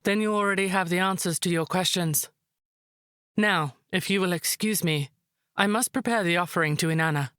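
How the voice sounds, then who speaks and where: Woman playing proud, commanding high priestess. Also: moderate pace, a bit bright, no echo, clean studio sound, close to an everyday voice. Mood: coolly defiant. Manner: formal, commanding, composed. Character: proud, resolute high priestess, dignified aunt. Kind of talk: dramatic visual novel acting.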